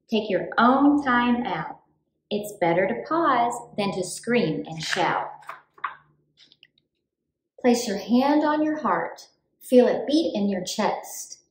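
Animated high-pitched woman reading a children's story. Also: echoey room, low-quality recording. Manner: clear, deliberate, animated delivery for young children. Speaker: children's book author reading aloud. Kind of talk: expressive storytime read-aloud.

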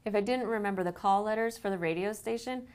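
A woman's voice: medium pitch, fast, a little mellow, clean tone. A woman in her thirties presenting a scripted product demonstration. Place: indoor living-room set, seated on a sofa.

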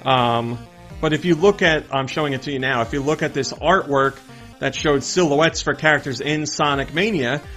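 A man's voice: fairly high pitch, moderate pace, a bit bright. A man in his thirties hosting video game news in a casual, friendly, upbeat way, talking to viewers. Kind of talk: casual vlog-style news commentary. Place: small indoor room with game shelves. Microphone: desktop condenser mic close in front. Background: background music.

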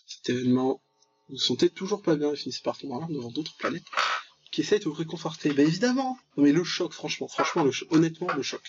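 A man's voice: fairly high pitch, expressive, fast, highs cut off, background noise. A young man giving casual, slangy let's play commentary, with mock-dramatic, exclamatory reactions. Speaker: gaming video host.

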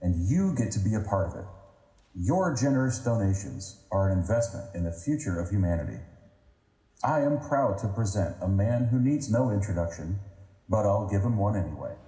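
A man's voice: on the low side, big pitch swings, moderate pace, dark tone. A man playing a polished, reverent master of ceremonies, making a stately formal introduction in an audio drama. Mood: ceremonious. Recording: echoey hall with long reverb.

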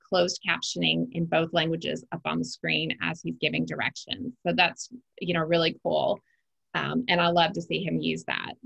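A woman's voice: lowish voice, creaky, fairly bright tone, slightly rough, poor audio. A woman co-presenting a webinar over a video call, chatting enthusiastically. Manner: enthusiastic, conversational. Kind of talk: casual webinar chat.

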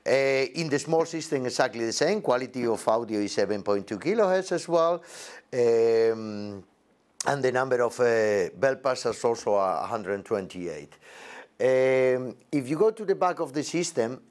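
A man in his forties with halting, non-native English gives an unscripted product demonstration as a presenter, recorded indoors on a lapel mic. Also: mid-range voice, expressive, darkish tone. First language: not English. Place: indoor demo set with backdrop.

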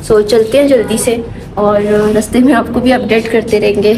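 A woman's voice: on the high side, steady tone, moderate pace, noisy background. A young woman vlogging casually to her phone, chatting about her day and mixing in English words. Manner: hushed, half-whispered indoors. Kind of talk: casual vlog chat. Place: home and auto-rickshaw. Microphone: phone held at arm's length.

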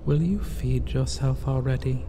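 A man playing a possessive, seductive vampire in an ASMR roleplay, sighing and speaking with condescending, mocking tenderness and quiet menace. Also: mid-range voice, lightly acted. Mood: stern, threatening. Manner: slow, sighing, purring endearments, mocking tenderness. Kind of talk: ASMR roleplay, theatrical intimate acting. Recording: long reverb, clear ambient background noise.

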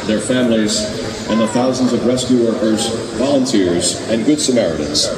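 A man announcing over a stadium public address system, speaking slowly and solemnly in a formal ceremonial style. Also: lowish voice. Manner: slow, measured, solemn delivery. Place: large outdoor baseball stadium. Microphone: PA system heard from the stands. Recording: echoing stadium PA, loud crowd, distant. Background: crowd noise.

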